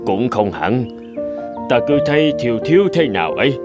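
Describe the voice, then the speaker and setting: A man's voice: mid-range voice, sing-song, moderate pace, over loud music, lightly acted. A man playing a bored, weary middle-aged king in a children's cartoon, brooding in a regal manner. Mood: weary, discontented. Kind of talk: dubbed children's cartoon acting.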